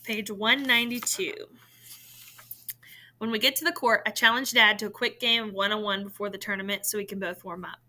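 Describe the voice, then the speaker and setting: A woman reading a novel in verse aloud, in an expressive storytelling style. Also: medium pitch, fairly quick, poor audio.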